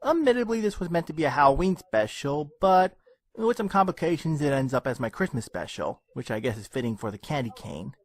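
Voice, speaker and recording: A man's voice: fairly high pitch, animated, a little fast, dry recording. A man narrating expressively as the storyteller and host of a fan fiction audio channel.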